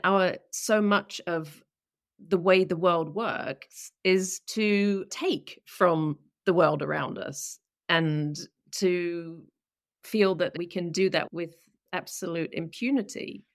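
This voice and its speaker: A woman hosting a podcast, asking long, thoughtful questions and searching for her words as she goes. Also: on the low side. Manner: thoughtful, searching, slow phrasing with restarts. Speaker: podcast host. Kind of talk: conversational interviewing.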